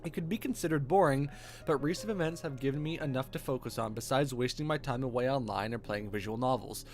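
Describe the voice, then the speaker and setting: A man's voice: mid-range voice, fast. A man reading visual novel text aloud as a streamer, dropping in wry, joking, sweary casual asides. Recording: background music under voice. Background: music with guitar.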